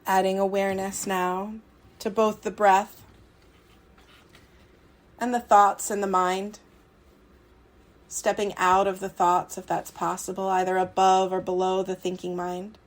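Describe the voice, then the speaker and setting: A woman leads a guided yoga meditation in a mid-pitched voice that stays very level, with little melodic movement. She is soothing and unhurried, setting out short phrases and leaving long pauses between them. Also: little pitch movement.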